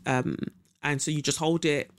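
A woman chatting through her podcast in an easygoing, meandering way. She drifts between thoughts, swears casually and fills pauses with ums. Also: sits low, fairly even intonation. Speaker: podcast host. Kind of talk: casual spiritual podcast chat.

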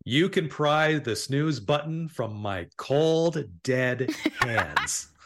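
A man's voice: mid-range voice, lively intonation, drawn-out words, a bit bright. A man chatting as a panel guest, wry and wordy, feeling his way through phrases. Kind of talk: casual panel chat.

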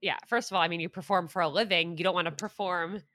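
A woman's voice: lowish voice, fairly even intonation, fast talker, pushed and bright, no room sound, studio quality. A young woman hosting a podcast, talking frankly and with emphasis in a casual interview. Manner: frank and emphatic, mocks bad advice. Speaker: podcast host.

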